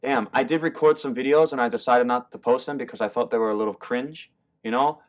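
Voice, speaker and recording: A man's voice: medium pitch, quick pace. An American man explaining song lyrics in a loose, rambling, casual way, often restarting his sentences. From United States. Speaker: YouTuber explaining German rap lyrics.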